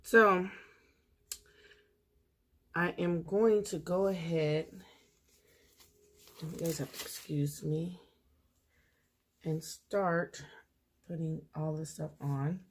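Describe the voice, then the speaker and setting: A woman's voice: low voice, fairly even intonation, very slow, pauses often. A woman losing her voice, chatting casually with viewers while she does a nail tutorial at a table at home. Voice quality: husky, gravelly. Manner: chatty, talks straight to viewers while working.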